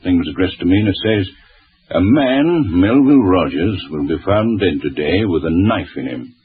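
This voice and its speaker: A very deep, husky chief inspector in an old radio crime play. He is brisk, dry and authoritative, heard through a thin, phone-like vintage recording. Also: lively intonation, exaggerated voice. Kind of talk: 1940s radio drama acting.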